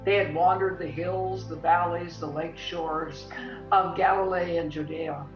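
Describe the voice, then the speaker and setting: A woman narrating a scripted dramatic story with theatrical, deliberate phrasing. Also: lowish voice, steady tone, lightly acted. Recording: echoey room, slightly dull audio. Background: music.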